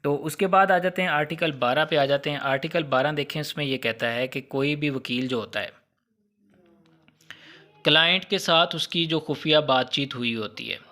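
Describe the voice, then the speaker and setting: A man's voice: a little high, moderate pace, pushed and bright. A man with a Pakistani accent lecturing on law in a didactic but conversational way, mixing in English terms and checking that listeners follow. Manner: didactic, conversational, checks understanding with tag phrases; mixes English legal terms into speech. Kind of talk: explanatory exam lecture with hypothetical examples.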